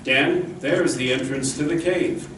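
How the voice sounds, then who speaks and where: A man's voice: on the high side, unhurried, background noise, natural voice. A man playing a heroic, protective masked cowboy lawman in an old-time radio western, speaking in an earnest, commanding and reassuring way. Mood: solemn, reassuring. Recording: roomy, distant live stage recording, music.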